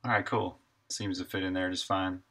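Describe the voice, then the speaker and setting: A man in his thirties with a deep, crisp-edged, bright voice. He talks casually to himself as he works, thinking aloud in short, broken-up phrases like a developer on a live stream. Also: little pitch movement, moderate pace. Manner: half to himself, in short fragments. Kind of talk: casual think-aloud commentary while working.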